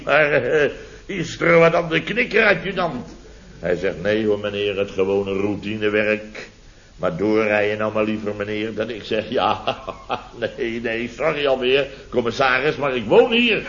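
An actor playing a flustered contractor in a 1970s radio comedy, telling domestic mishaps in halting, repetitive sentences full of tag questions. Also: male voice, mid-range voice, close to an everyday voice. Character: flustered male contractor recounting his chaotic domestic mishaps. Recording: dull vintage radio recording.

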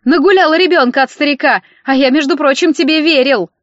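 Woman playing a spiteful drunk man, high squealing voice, hysterical whining rants, audiobook drama, slightly dull audio. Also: lively intonation, measured pace, fairly bright tone, dry recording. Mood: furious, spiteful. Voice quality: shrill, squealing. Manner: ranting, accusing in outbursts. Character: shrill, hysterical drunk ex-husband, spiteful and whiny.

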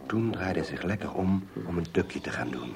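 A man's voice: low-pitched, lively intonation, normal speed. A man reading scripted fairy tale narration as the narrator of an audio play. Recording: clearly noisy background with clicks.

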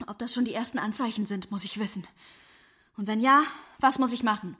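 Fed-up teen girl, high sharp voice, agitated and blunt, radio play. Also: brisk, husky. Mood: desperate, demanding. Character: angry, desperate, impatient teenage girl. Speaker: actress in a radio drama. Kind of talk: radio drama acting.

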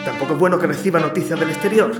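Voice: medium pitch, racing, natural voice. An actor playing a formal, reassuring carer in an audio drama. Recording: roomy echo, loud music behind.

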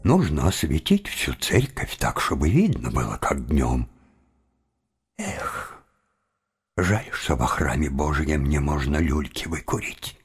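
Very deep-voiced, muttering young seminarian. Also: male voice, big pitch swings, slow pace, breathy edge, full character voice. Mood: self-reassuring muttering. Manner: muttering to himself, folksy, self-reassuring. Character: Khoma, young seminary philosopher, bluffing bravado while afraid. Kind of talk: audio drama acting.

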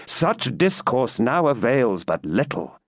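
A man's voice: mid-range voice, sing-song, slow, no room sound, clean studio sound, exaggerated voice. A man voicing a proud, brooding medieval knight in an audiobook, with grave, formal, archaic declamation. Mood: stern. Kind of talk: dramatised audiobook reading.

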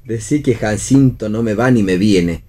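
A man's voice: fairly low pitch, moderate pace, mellow, close to an everyday voice. An Argentine man playing a wry, sardonic young husband in a radio play reading. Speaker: actor in a read-theatre radio play.

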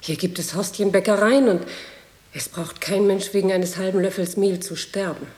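An actress playing an earnest, troubled woman comforting a haunted man in a radio play, with solemn, deliberate delivery. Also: medium pitch, fairly even intonation, darkish tone, lightly acted. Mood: urgent, earnest. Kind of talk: German radio play acting. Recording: echoey, reverberant radio drama studio.

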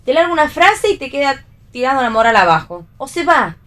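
Theatrical actress character, Argentine accent, ironic, needling, playful, radio play. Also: female voice, high voice, big pitch swings, a little fast, a bit bright. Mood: resentful. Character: theatrical, teasing actress who needles her friend. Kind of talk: read radio theatre dialogue.

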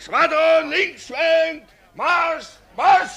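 An actor playing an insolent, swaggering young rebel labourer in a radio play, coarse and mocking. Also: male voice, extremely high pitch, very slow, heavy background noise, full character voice. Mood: shouting. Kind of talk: theatrical German radio play acting.

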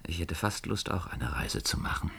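A man's voice: low-pitched, sing-song, moderate pace, vocal fry, a bit bright, husky, exaggerated voice. An actor in a German science fiction radio play, playing an earnest, inquisitive company man with a thoughtful, probing manner. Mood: concerned.

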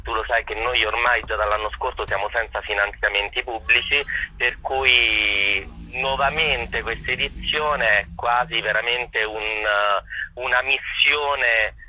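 A man's voice: mid-range voice, fairly even intonation, unhurried, pushed and bright. A man giving an informative interview as a guest over a telephone line. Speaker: theatre festival consultant, interview guest. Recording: dull, band-limited telephone line.

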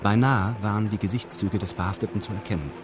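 Actor as a low-voiced, detached male narrator. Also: steady tone, quick pace, lightly acted. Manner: formal, detached, meticulous. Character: dry, meticulous male first-person narrator. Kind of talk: scripted radio play narration in reported speech.

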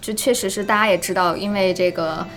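A woman's voice: medium pitch, steady tone, a little fast, a bit bright, faint music behind. A woman with a mainland Chinese Mandarin accent chatting casually on a live stream, thanking people effusively and repeating phrases for emphasis. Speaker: voice drama cast or crew member on a live stream.

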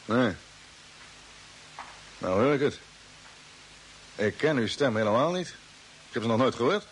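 An actor playing a determined expedition commander in an old radio drama, with a commanding, earnest manner. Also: male voice, mid-range voice, expressive, unhurried, close to an everyday voice, rough recording. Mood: puzzled. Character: determined male space expedition commander on a Mars mission. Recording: old radio recording with faint hiss.

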